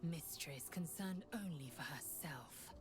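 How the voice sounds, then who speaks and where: A woman's voice: sits low, animated, unhurried. A woman playing a proud, scornful alien warrior who speaks formally in a video game. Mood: stern, scornful. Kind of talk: video game character acting.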